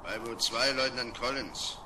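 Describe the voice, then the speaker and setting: A man playing a brisk, confident space lieutenant in an old children's radio play. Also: mid-range voice, fairly even intonation, bright, forceful tone, slight background noise. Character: brisk, self-assured male space boat lieutenant.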